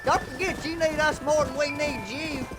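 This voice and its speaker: An actor playing a belligerent, needling western deputy with a sneering delivery in a video game. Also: male voice, extremely high pitch, steady tone, normal speed. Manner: sneering, combative. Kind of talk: dramatic video game acting. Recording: game audio with background music.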